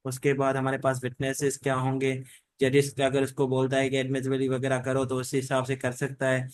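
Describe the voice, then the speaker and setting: A man's voice: medium pitch, completely flat delivery, brisk, dry recording. A man teaching a class in an informal, rambling lecture style, often repeating stock phrases. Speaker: teacher giving an online law class. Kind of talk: informal lecture mixing Hindi-Urdu and English legal terms.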